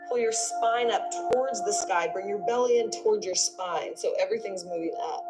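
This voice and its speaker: A woman's voice: mid-range voice, normal speed, reverberant, loud music behind the voice. A woman instructor guiding a yoga class in a conversational, encouraging way, giving step-by-step movement and breathing cues.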